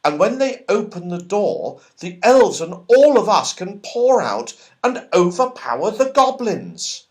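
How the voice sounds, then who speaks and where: Man as a sensible eager kid from a storybook, slightly high, very animated. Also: a little slow. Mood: excited. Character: Joe, keen sensible young boy leader.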